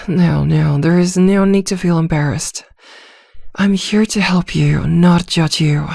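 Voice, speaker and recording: A composed, attentive therapist played by a woman speaks in a slightly low, soft voice for an ASMR roleplay. She is measured and reassuring, probing gently with small hesitations. The sound is very dry, with no room around it. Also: gravelly, no room sound, heavily acted. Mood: reassuring, advising.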